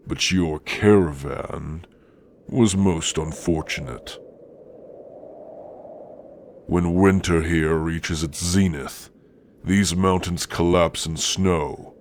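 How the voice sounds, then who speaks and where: A man voicing a slow, low, menacing orc warrior. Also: slight background noise. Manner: deliberate, domineering, menacing. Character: grim, menacing male orc warrior of frozen mountains. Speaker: ASMR roleplay performer. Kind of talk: slow, scripted ASMR roleplay monologue addressed to listener.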